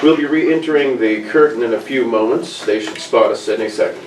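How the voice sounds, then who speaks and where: A man's voice: mid-range voice, a little fast, very noisy, close to an everyday voice. Man reading a businesslike crewman, echoey room. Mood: commanding. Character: businesslike male crewman and covert operative reporting in. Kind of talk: amateur script table read. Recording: echoey room, distant mic, clattering background.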